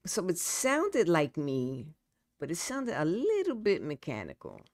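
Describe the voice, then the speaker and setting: A woman's voice: lowish voice, huge pitch swings, measured pace, a little mellow, dry recording, clean studio sound. A middle-aged woman with a New York accent, a guest poet and author chatting casually, repeating words and trailing off. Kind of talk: casual conversation.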